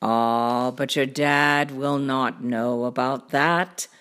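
A big tomcat trickster played by a woman speaks very low and very slowly. He drawls with boastful, chuckling assurance, as in a read-aloud children's storybook, and nothing ever worries him. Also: steady tone, fairly bright tone, clear, resonant tone, full character voice. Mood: smug. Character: big cocky laughing male cat, lazily confident trickster.

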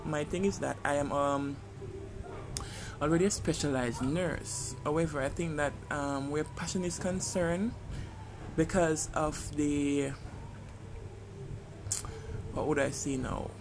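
A man's voice: a little high, takes it slowly, pauses often, background noise. A man with a Jamaican accent speaking in a casual personal podcast monologue, hesitant and reflective, often searching for his words. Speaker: podcast host.

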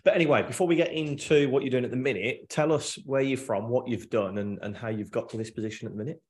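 A man's voice: medium pitch, quick pace, a little mellow. A man hosting a podcast, reading a short opening then chatting informally with his guest, often repeating himself. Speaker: podcast host. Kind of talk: scripted intro then casual interview chat. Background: faint background music.

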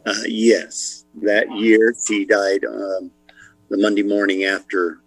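A man on a video call sharing a personal story of loss, speaking haltingly with pauses. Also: fairly low pitch, little pitch movement, dry recording, soft background music, rough recording. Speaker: guest. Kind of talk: personal testimony.

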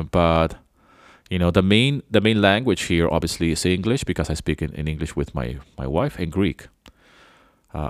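Very deep-voiced man rambling. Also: no echo, studio quality. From Venezuela. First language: Spanish. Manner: rambling, loose and chatty. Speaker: podcast host. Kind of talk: casual reflective monologue.

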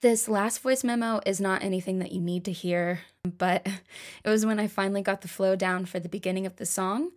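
A woman speaks in an easygoing, conversational way as a songwriter. Her voice sits in an ordinary middle pitch, and her tone stays casual and lightly amused as she introduces her voice memos. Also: fairly even intonation, brisk, darkish tone. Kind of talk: casual spoken commentary.

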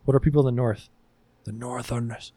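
A man's voice: mid-range voice, fairly even intonation, a little fast, warm tone, no room sound. A man hosting a podcast quiz in a casual, bantering style. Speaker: podcast host running a quiz.

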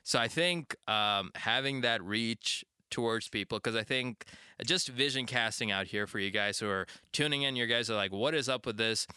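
A man in his late twenties co-hosting a casual podcast, with a bright, crisp voice that is a little low. He rambles in an upbeat way and laughs often. The sound is dry and close. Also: moderate pace, hardly any pauses, no room sound. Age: 20s. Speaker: podcast co-host. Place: small home studio.

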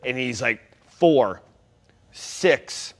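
A man in a casual panel chat, telling stories in an animated, loosely profane way and acting out what people said. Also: mid-range voice, extremely slow. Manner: animated storyteller, profane, acts out quoted dialogue. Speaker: panelist on a tabletop gaming talk show.

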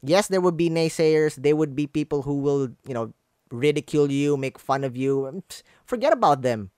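A man's voice: a little high, animated, normal speed, darkish tone, clear, resonant tone, dry recording, clean studio sound. A man from the Philippines with a Filipino accent, speaking English as a second language, giving earnest motivational coaching and repeating phrases for emphasis. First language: not English. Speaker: branding coach, guest speaker. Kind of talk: motivational conversational talk. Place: online video call.

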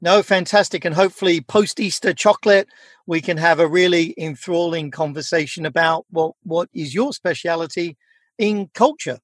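A man with a fairly high-pitched voice hosts a podcast interview in a dry sound with no room echo. He talks conversationally, affirming his guest and easing into questions at some length. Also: moderate pace. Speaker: podcast host.

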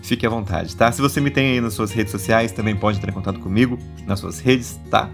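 A Brazilian man talking into his podcast mic with a stuffy, nasal voice from a cold. He chats easily, tossing in little tag questions as he goes, with soft music playing faintly underneath. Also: on the low side, fairly quick. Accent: Brazilian Portuguese. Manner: chatty, warm asides, many tag questions. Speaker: podcast host. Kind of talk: casual religious podcast chat.

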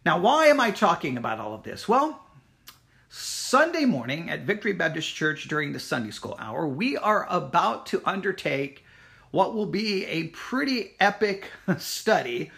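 A church teacher speaks informally and directly to listeners. The pitch moves widely and expressively. The delivery is emphatic and challenging, built on rhetorical questions and repeated phrases. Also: big pitch swings, measured pace, gravelly, fairly bright tone. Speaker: Bible teacher at a Baptist church.

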